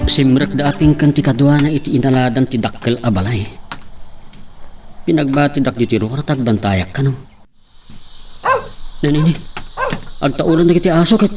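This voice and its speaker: A man's voice: mid-range voice, moderate pace, pauses often, lightly acted. A young man playing an earnest son, narrating his story in a plain, earnest way. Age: young adult. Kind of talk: amateur drama acting and narration. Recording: distant mic with clear insect noise.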